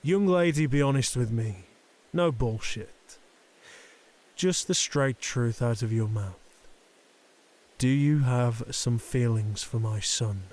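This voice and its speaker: A man's voice: medium pitch, a little mellow, natural voice. A man playing a cruel, domineering middle-aged Yakuza boss and father in an audio drama, taunting and threatening. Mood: cold taunting. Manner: taunting, threatening, slow deliberate delivery. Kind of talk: ASMR roleplay audio drama acting.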